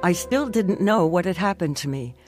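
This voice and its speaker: A woman's voice: lowish voice, lively intonation, moderate pace, loud music behind the voice, lightly acted. A young American woman playing a married stroke survivor who tells her story earnestly and reflectively in a radio drama. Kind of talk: dramatized first-person testimony, radio drama narration.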